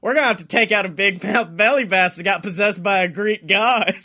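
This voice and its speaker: A man hosting a comedy podcast, bantering casually in an excitable, giggly, profane way. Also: high-pitched, fairly quick.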